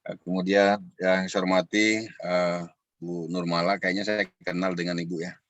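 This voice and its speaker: A man giving an informal address as a webinar guest, courteous and halting in his delivery. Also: low voice, little pitch movement, a bit bright. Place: online video call. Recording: dull echoey online call audio.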